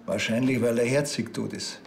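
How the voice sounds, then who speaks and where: A man's voice: medium pitch, moderate pace, vocal fry, slight background noise, close to an everyday voice. An actor playing a grumpy village policeman with a Bavarian accent and a dry, sardonic way of talking. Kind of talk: naturalistic comedy-crime dialogue.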